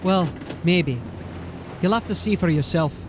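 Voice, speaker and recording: A dutiful young corporal. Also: male voice, high voice. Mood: urgent. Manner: dutiful, hurried reports. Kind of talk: audio drama acting. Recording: noisy ambience behind. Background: noise.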